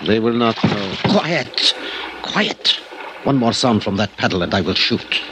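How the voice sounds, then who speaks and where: A man's voice: fairly low pitch, animated, measured pace. An actor playing a desperate, coldly commanding Mexican gunman with a Mexican accent, giving curt threats in a 1950s radio crime drama. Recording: very noisy old 1950s radio recording.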